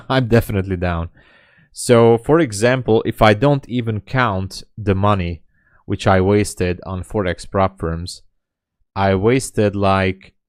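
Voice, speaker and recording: A man in his late thirties with a low, deep voice talks casually on a live trading stream. He is chatty and candid and responds to viewers' comments. The sound is dry and close, with almost no room echo. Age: 30s. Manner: candid and self-deprecating. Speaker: day trader streaming live. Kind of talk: casual livestream chat.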